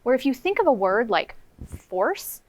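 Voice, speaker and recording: A woman's voice: on the high side, sing-song, a little slow, a little mellow. An American woman teaching young children, explaining simply in a read-aloud lesson as a kindergarten co-host.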